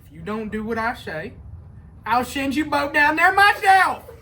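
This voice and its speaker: Teenage boy acting robber captain, bright forceful voice, bossy, blustering, exasperated, animated, student reader's theater. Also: very high-pitched, measured pace, exaggerated voice. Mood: bossy, angry. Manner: exasperated, barking orders.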